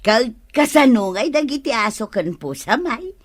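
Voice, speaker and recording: Nagging, gossipy village wife, high lively voice, Ilocano accent, radio comedy. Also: female voice, normal speed. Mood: gossipy, teasing. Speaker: radio drama actor.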